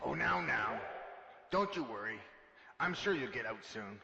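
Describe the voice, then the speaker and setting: A man playing a vain, flirtatious secret agent in an amateur radio drama, pompous and halting in his delivery. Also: mid-range voice, animated, creaky, sharp tone, full character voice. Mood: boastful. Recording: echoey hall, dull sound, music behind.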